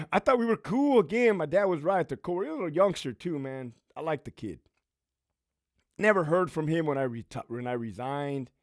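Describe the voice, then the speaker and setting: Brash, profane man with a lively voice. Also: a little high, dry recording, clean studio sound. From California. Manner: brash, profane, laughs often, streetwise slang. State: losing his voice. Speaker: YouTube host, former prison officer. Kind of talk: casual profane monologue, reading supporter names.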